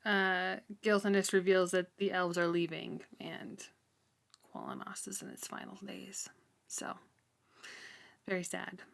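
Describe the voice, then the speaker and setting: A woman's voice: fairly low pitch, little pitch movement, unhurried, creaky, long pauses. Casual, giggly woman co-hosting a podcast. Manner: giggly, casual, informal phrasing. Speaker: podcast co-host. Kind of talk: casual book discussion.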